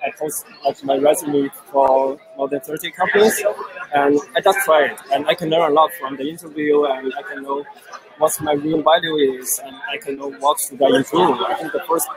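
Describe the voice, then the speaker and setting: A man from China, Chinese his first language, answering interview questions in halting English with many pauses. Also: a little high, fairly even intonation, crowd noise, low-quality recording. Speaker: software developer guest. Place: busy event with crowd.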